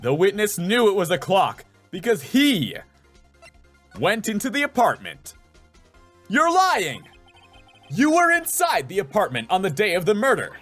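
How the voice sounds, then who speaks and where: Guy doing a young lawyer from a court game, earnest n dramatic, pitch all over, music faintly behind. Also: huge pitch swings, measured pace, long pauses, fairly bright tone, heavily acted. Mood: accusing. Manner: emphatic, theatrical courtroom delivery. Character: earnest, excitable young male defense attorney. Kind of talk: dramatic video game character dub.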